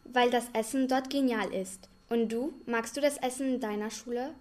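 A woman's voice: fairly high pitch, normal speed, darkish tone. A young teenage girl speaking in a school radio report, chatty and upbeat. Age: young teen.

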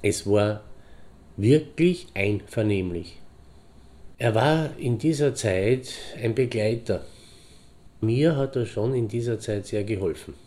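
An actor playing a pensive middle-aged divorced horseman, reminiscing in deliberate, halting phrases. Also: lowish voice, mellow. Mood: wistful, matter-of-fact. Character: pensive divorced hobby horseman fondly remembering a friend. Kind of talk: reflective anecdotal monologue in an audio drama.

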